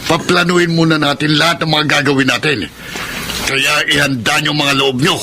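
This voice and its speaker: A man's voice: a little high, fairly even intonation, moderate pace, lightly acted. An actor playing a bossy, scheming male crook who plans a burglary, in a radio drama. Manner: bossy, sneering. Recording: noisy radio drama recording.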